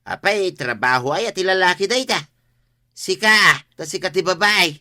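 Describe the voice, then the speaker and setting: A teasing, boastful macho husband in an Ilocano-accented radio comedy. The voice is sharp and exclaiming, recorded close and dry. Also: male voice, sits high, lively intonation, moderate pace, dry recording, full character voice. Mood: boastful, indignant. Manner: mocking, exclaiming. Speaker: radio drama actor.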